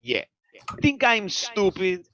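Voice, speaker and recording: A man's voice: high voice, very animated, takes it slowly, sharp tone, lo-fi sound, heavily acted. A man acting a reluctant veteran cop near retirement, complaining and exasperated in a screenplay table read. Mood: gruff, suspicious.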